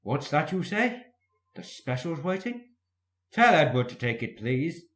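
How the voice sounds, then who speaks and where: A man voicing a brisk, dismissive male railway boss in a storybook, curt and bossy. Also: on the high side, big pitch swings, a bit bright, voice pushed up. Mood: exasperated, brisk.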